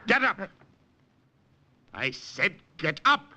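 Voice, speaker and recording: An actor playing a cruel, imperious German commandant with a menacing, theatrical manner in old radio drama. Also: male voice, high-pitched, big pitch swings, slow, over loud music, exaggerated voice. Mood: barking, commanding. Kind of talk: 1940s radio acting. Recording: noisy vintage radio recording.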